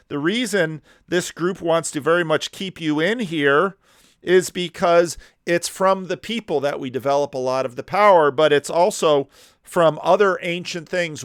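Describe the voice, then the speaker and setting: A man commenting on a video clip, talking in animated rhetorical questions with slow, deliberate emphasis. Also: fairly high pitch, clean tone, no echo, studio quality.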